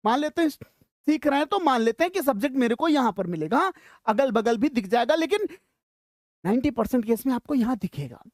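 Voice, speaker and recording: A woman's voice: a little high, expressive, a little fast, darkish tone, no echo, studio quality. A woman with an Indian accent teaching an informal lesson, chatty and encouraging, often checking that learners follow. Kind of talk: informal grammar lesson mixing Hindi and English.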